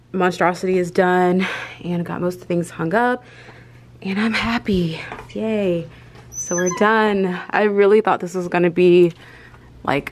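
A young adult woman chatting casually to a vlog camera, thinking aloud informally as she tidies at home. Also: lowish voice. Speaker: home vlogger. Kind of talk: casual vlog chat while tidying. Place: small indoor home rooms: closet, bedroom, bathroom. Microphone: handheld or tripod vlog camera. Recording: clear background noise with handling clicks.

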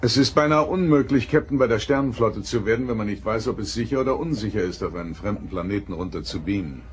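An actor playing a reserved, middle-aged male starship captain, formal and measured, in a German sci-fi audio drama. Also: fairly low pitch, close to an everyday voice. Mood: stern, probing.

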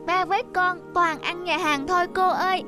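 A performer playing a cheerful young child in an animated family moral tale. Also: very high voice, normal speed. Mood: cheerful, chatty. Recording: music behind.

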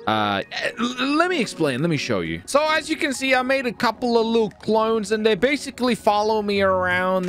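A man hosting a gaming video with excitable, theatrical commentary and playful roleplay, often repeating his phrases. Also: high voice.

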